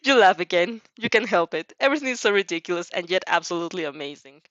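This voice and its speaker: Woman, expressive story reader, animated delivery, trips on long words, dry lo-fi sound. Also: lowish voice, quick pace, no echo. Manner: stumbles over longer words. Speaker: fan fiction reader. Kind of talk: expressive second-person story narration.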